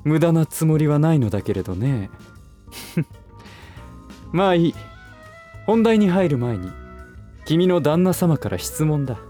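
A smug nobleman of a businessman, speaks in a fairly high male voice with very animated swings. He is condescending and teasing, with languid lordly phrasing, in a voice drama with faint music behind. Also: takes it slowly, pauses often. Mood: needling. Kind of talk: Japanese voice drama acting.

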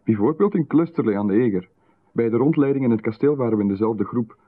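A man with a Flemish accent, playing a calm, insistent stranger in a radio drama who seems to know far too much. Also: medium pitch, fast, natural voice. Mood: insinuating. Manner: calm, insistent, coolly overfamiliar. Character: calm, knowing male stranger who watches closely. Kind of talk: 1990s radio drama acting. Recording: narrow, phone-like radio broadcast.

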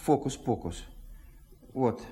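A man's voice: medium pitch, animated, slow, dark tone. A man playing a nonchalant, showy teenage lad in a radio play, casual in his delivery. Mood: showing off.

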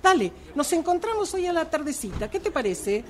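A woman's voice: high voice, sing-song, brisk. A woman playing a supportive, insistent friend in a radio drama, with an Argentine accent and hesitant, coaxing phrasing. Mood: upbeat coaxing. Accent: Argentine Rioplatense. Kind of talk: radio drama workshop dialogue. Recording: noisy amateur workshop recording.

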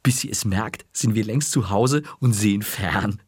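A man's voice: fairly low pitch, a little slow, a little mellow, slightly rough, no room sound, studio quality. A man doing a cocky scheming schoolboy. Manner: boastful, scheming. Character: cocky mischievous schoolboy, big brother. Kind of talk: audiobook reading.

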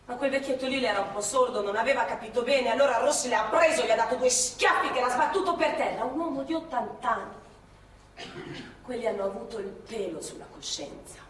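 A woman's voice: fairly high pitch, brisk, close to an everyday voice. An actress playing a chatty old woman. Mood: grave. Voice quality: conversational, repeats herself for effect. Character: elderly Milanese woman sheltering partisans, chatty. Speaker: actress in a stage play. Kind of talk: theatrical monologue, recorded live. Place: theatre stage. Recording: echoey theatre stage, mic far off.

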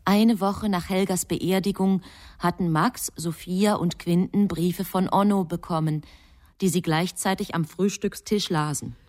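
A woman narrating a radio drama in a measured, sober manner. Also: on the low side, fairly even intonation, a little mellow.

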